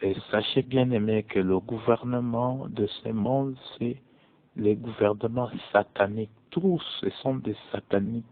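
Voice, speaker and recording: A man preaching as a prophet in slow, deliberate French, his being English. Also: medium pitch, steady tone. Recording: tinny phone-like audio, dry.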